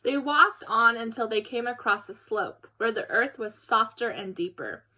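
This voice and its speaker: A woman reading a children's story aloud, expressive and animated, as if to young listeners. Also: a little high, normal speed, slight background noise. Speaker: storybook reader.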